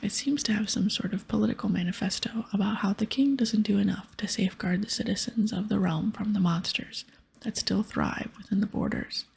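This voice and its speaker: A woman softly whispering an ASMR video game playthrough, mixing hushed, unhurried reading with casual asides. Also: medium pitch, poor audio. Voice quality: breathy, soft whisper. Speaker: streamer reading game dialogue aloud.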